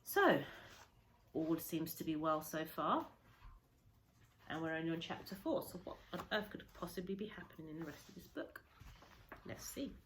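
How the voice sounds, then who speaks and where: A woman teacher reading a children's story aloud, dramatic and emphatic, with deliberate pauses and shifts in volume. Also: deep voice, lively intonation, fairly bright tone, a little hiss or hum, rough recording. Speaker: primary school teacher reading to a class.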